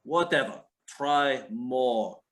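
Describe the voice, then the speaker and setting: An actor playing a menacing, impatient Russian gangster in a play reading, with a Russian accent and curt broken English. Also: male voice, medium pitch, extremely slow, fairly bright tone. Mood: cold, curt. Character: menacing, impatient Russian mob boss's enforcer, a man.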